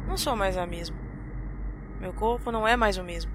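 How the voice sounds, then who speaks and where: A woman's voice: medium pitch, a little fast, lightly acted. An actress playing a tired, fearful woman, narrating a horror audio drama in an apprehensive, hesitant, dramatic manner. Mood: troubled, resigned. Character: tired, fearful woman recounting a nighttime attack. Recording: noisy urban street ambience with traffic.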